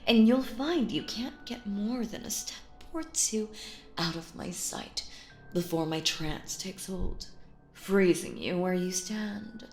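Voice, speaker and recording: A woman performs as a sinister, doting female magician in an audio drama, speaking slowly and coaxingly. Her theatrical delivery slips into breathy whispers, possessive and controlling. The voice rings with echo over faint music. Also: mid-range voice, animated, a little mellow. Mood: gloating. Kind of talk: roleplay audio drama, intimate hypnotic monologue.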